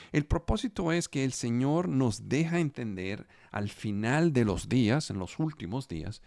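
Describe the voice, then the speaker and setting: A man preaching a sermon as pastor, Spanish not his first language, slow and halting, often repeating words as he searches for phrasing. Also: mid-range voice, a little mellow, dry recording, studio quality. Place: home studio for a live online service.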